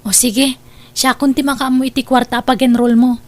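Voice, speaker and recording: A woman's voice: high-pitched, level tone, moderate pace, a little mellow, close to an everyday voice. A woman playing a devoted, hardworking young elder sister with a Filipino accent, earnest and emotive in an Ilocano family radio drama. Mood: earnest, tender.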